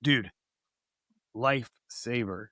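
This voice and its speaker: A man co-hosting a podcast, hoarse from recently losing his voice, chatting casually and rambling through many restarts. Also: medium pitch, slow, sharp tone, no room sound, studio quality. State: hoarse, recovering from lost voice. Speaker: podcast co-host.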